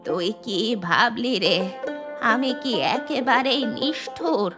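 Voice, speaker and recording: A woman's voice: a little high, lively intonation, slow, music in the background. A woman voicing an elderly, repentant village grandmother in a children's cartoon, plain-spoken and earnest. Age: older. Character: elderly village grandmother, once stingy, now repentant and generous. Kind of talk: children's cartoon character acting.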